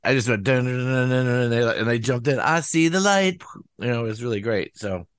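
A man musician talking casually in an interview, rambling with lots of "you know" fillers and acting out quotes in a higher voice. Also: fairly quick, clean tone, dry recording. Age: older. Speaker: musician guest.

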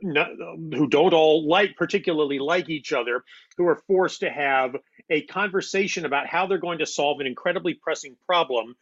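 A man's voice: fairly high pitch, moderate pace, a bit bright, muffled recording. A man explaining his craft conversationally, as a writer being interviewed. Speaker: playwright and audio drama writer.

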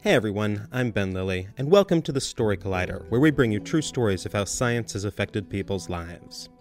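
A man's voice: on the low side, animated, brisk. A man hosting a podcast, reading a friendly, upbeat scripted introduction. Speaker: podcast host. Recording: music bed under voice.

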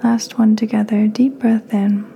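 A woman hosting a guided meditation in a soft, breathy, near-whispered voice, calm and soothing with unhurried pauses. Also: medium pitch, slight background noise. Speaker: podcast host, meditation guide.

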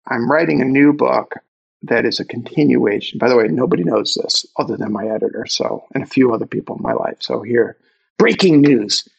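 Gravelly, husky Brooklyn man. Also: mid-range voice, fairly quick, muffled recording. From Brooklyn, New York. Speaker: author and interview guest. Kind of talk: reflective conversational interview.

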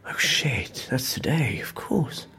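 A man's voice: mid-range voice, big pitch swings, takes it slowly, creaky, bright, forceful tone, a little hiss or hum, exaggerated voice. An actor playing a tired, hapless male office worker, sheepish and hesitant, in naturalistic audio drama dialogue. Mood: weary, sheepish.

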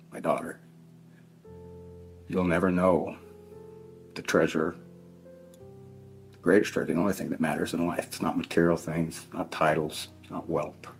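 Very low, gravelly, grieving middle-aged man. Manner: halting, solemn, direct address with repetitions. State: emotional, grieving. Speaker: victim's father giving impact statement. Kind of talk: spoken victim impact statement in court. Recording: faint music laid under the voice. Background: faint plucked guitar music.

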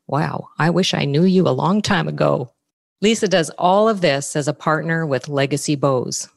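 A woman with a low, steady voice hosts a business podcast. She reads through prepared introductions and figures in a measured way. The sound is dry and close, with no room around it. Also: creaky, no room sound. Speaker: podcast host.

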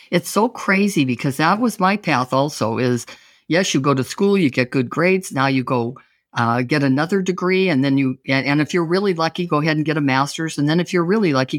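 A woman hosting an interview show, talking with warm enthusiasm and an effusive manner. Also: deep voice, no room sound, clean studio sound. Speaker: host.

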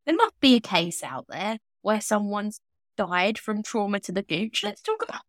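A woman's voice: mid-range voice, very animated, normal speed, dry recording, clean studio sound. A woman co-hosting a podcast in casual chat, joking and rambling off on tangents. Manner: bubbly, rambling, jokey tangents. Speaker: podcast co-host.

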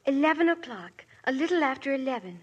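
A woman's voice: high-pitched, animated, quick pace, lo-fi sound. A polite, cheeky schoolgirl witness. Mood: earnest. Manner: polite, keeps adding "monsieur". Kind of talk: 1950s radio drama acting.

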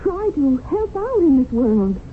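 An actress playing an earnest, idealistic young schoolteacher wife in a radio drama, gently pleading and moralizing. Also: female voice, sits high, sing-song, a little slow. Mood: earnest, concerned. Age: young adult. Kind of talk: 1970s radio drama acting. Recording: tinny, noisy old radio broadcast.

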